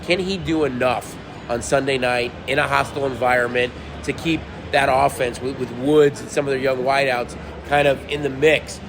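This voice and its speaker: Man, voice going hoarse, middle pitch, leads betting talk, clear traffic noise behind. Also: fairly even intonation, moderate pace. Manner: leads the segments, reads out betting numbers. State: losing his voice. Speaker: sports betting podcast co-host. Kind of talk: casual sports betting talk.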